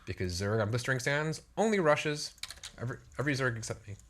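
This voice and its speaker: A man's voice: medium pitch, animated, fast talker, noisy background. A man casually commenting on a strategy game he is playing, rambling and self-deprecating. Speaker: co-host playing the match.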